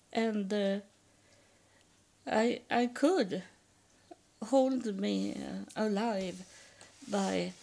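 A woman from Sweden with a Swedish accent, telling her own story in halting English with many pauses and restarts. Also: medium pitch, creaky, a little mellow. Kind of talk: personal testimony.

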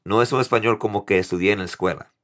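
A man in his thirties from New York hosting a casual solo podcast in Spanish, with an accent mixing Dominican and American English, halting and correcting himself often. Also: lowish voice, fairly bright tone. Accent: mix of Dominican Spanish and American English. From New York, United States. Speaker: podcast host.

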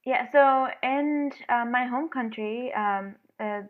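A young woman from Venezuela, a flute player, answering interview questions in a hesitant way with many pauses and ums. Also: on the high side. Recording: phone-like narrow sound.

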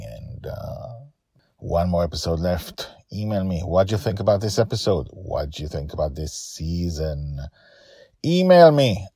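A man hosting a story podcast, narrating theatrically and emphatically, with drawn-out words. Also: low-pitched, dark tone, dry recording, clean studio sound. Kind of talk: dramatic scripted storytelling with host asides.

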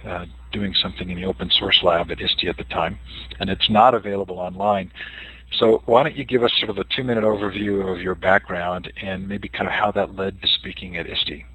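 A man's voice: deep voice, fairly even intonation, a little fast, a bit bright, breathy edge, dry recording, poor audio. A man hosting an interview, asking thoughtful questions with some hesitation. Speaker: host.

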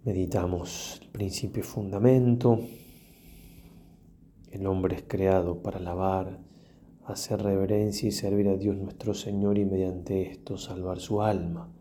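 A man, a priest leading a guided prayer meditation in a solemn, reflective manner with long pauses and softly trailing phrases. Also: sits low, fairly even intonation, slight background noise.

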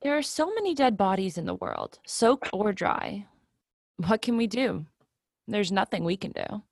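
Woman performing unsentimental office worker, mid-pitched, matter-of-fact, fatalistic, dry sound, stage play reading. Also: wildly swooping pitch, a little slow, gravelly, dry recording, full character voice. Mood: resigned.

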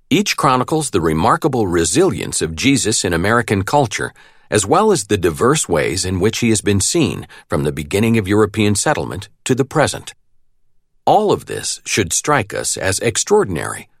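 A man reading a nonfiction audiobook as narrator, with a measured, articulate delivery. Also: fairly low pitch, no echo, clean studio sound.

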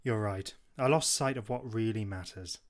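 A man's voice: fairly low pitch, normal speed. A man co-hosting a podcast, jokey and self-mocking as he reads lines in a script read-through. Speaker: podcast host.

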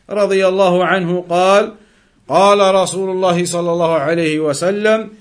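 A man giving a religious lesson as a teacher, moving between reciting quotations and explaining them. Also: sits high.

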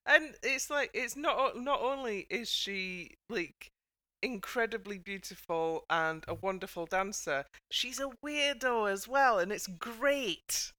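A woman's voice: medium pitch, a little slow, pushed and bright, no echo. A British woman hosting a casual panel chat with a wry, dry sense of humour. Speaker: podcast host.

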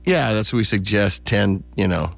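A middle-aged or older man with a voice a little on the low side. He answers interview questions casually and with small anecdotes, as a theater director would. The recording is dry and free of room sound. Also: normal speed, creaky, dry recording.

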